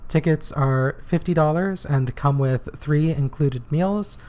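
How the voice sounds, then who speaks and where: Slightly hoarse man, podcast co-host. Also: on the high side, a little slow, a little mellow. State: slightly hoarse from shouting at a protest. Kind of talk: casual political podcast talk.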